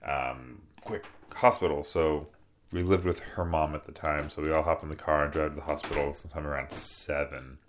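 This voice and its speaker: A young man with a deep voice, casually telling a personal story as a podcast host, relaxed and rambling with soft fillers. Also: moderate pace, slight background noise.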